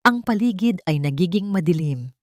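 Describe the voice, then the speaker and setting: A woman's voice: on the low side, lively intonation, moderate pace, dry recording. A woman narrating a scripted dramatic love story as an audiobook narrator.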